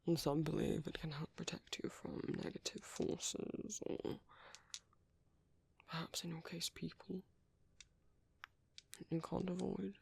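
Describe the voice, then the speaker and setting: A British man playing a gentle, caring vampire priest in an ASMR roleplay, speaking softly, hesitantly and politely in a breathy, whispery voice up close. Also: fairly high pitch, steady tone, a little slow, long pauses, no echo, heavily acted. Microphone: close microphone.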